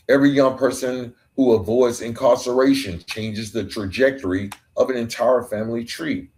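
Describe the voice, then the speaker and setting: A man hosting a podcast, delivering an earnest reflective monologue that builds through emphatic repeated phrases. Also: lowish voice. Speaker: podcast host.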